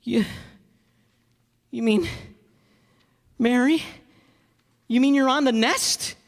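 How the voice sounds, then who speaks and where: An actor playing an earnest, self-doubting young husband in a radio play, with a halting, folksy stammer. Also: male voice, extremely high pitch, exaggerated voice. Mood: astonished. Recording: echoey hall, stage reverb.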